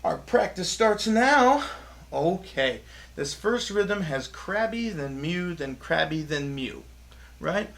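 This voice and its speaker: A man's voice: high voice, expressive, slow pace, creaky, a bit bright. A man teaching a music lesson to children in an upbeat, chatty way, leading call-and-response rhythm chants.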